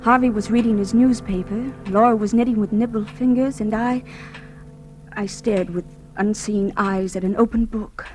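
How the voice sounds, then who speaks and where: An actress playing an anxious young heiress in a halting, dramatic old radio-drama style. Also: female voice, medium pitch, steady tone, darkish tone, soft background music, natural voice. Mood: brooding narration. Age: young adult. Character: high-strung, anxious young heiress, aged twenty-two. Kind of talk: vintage radio drama acting and first-person narration. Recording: noisy, crackly old radio recording.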